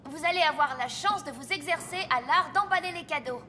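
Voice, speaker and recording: A woman's voice: high voice, brisk, natural voice. A woman voicing a young boy in a cartoon, an eager, good-hearted apprentice Santa who speaks earnestly and politely. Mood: cheerful, inviting. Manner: earnest, bright-spirited, polite. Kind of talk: animated cartoon acting. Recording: music behind the voice.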